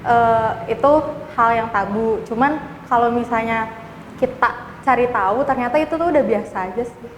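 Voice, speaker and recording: Frank twenties woman, higher voice, echoey room. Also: normal speed, slight background noise. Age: 20s. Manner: casual, frank. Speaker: recent graduate. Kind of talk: casual interview answers. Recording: echoey room with long reverb.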